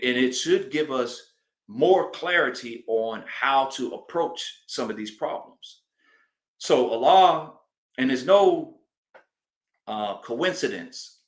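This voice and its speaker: A man giving a sermon as an imam, speaking deliberately and emphatically and repeating phrases to drive points home. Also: mid-range voice, a bit bright. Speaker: imam giving a religious address. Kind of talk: sermon, public teaching address. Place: indoor hall of a mosque. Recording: roomy, echoing live address.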